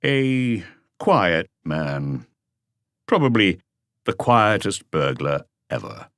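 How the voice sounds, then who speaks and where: A man voicing a world-weary veteran police inspector in an audiobook, terse, measured and reflective. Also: deep voice, big pitch swings, a bit bright, no echo, clean studio sound, heavily acted. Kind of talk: audiobook character dialogue.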